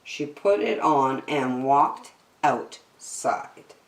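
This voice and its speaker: A woman reads a children's story aloud at an unhurried pace. Her pitch swings widely as she stresses words with care, and the room sounds echoey. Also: creaky, far from the mic. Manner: expressive, with deliberate emphasis. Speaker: children's story-time reader. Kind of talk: animated picture-book read-aloud.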